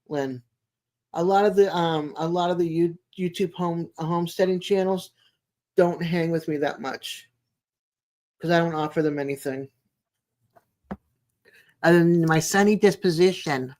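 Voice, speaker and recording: A woman in her sixties chatting casually as a live stream host, in a rambling, chatty way with frequent pauses. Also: lowish voice, darkish tone. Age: 60s. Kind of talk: casual chat with live viewers.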